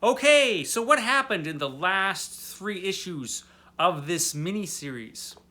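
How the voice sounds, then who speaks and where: A man's voice: high-pitched, lively intonation, takes it slowly, pushed and bright. A tipsy man hosting a casual comic book review, rambling along in a wry, tongue-in-cheek way. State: drunk.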